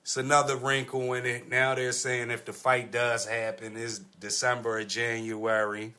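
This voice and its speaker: A man's voice: medium pitch, completely flat delivery, normal speed, bright, forceful tone. An American man hosting a podcast, talking casually about sports. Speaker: podcast host.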